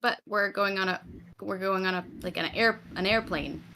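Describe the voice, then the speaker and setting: A woman playing a nervous soul reaper who hides her fear, stammering and halting. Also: mid-range voice, vocal fry, a crowd in the background, lightly acted, poor audio. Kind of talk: improvised tabletop roleplay.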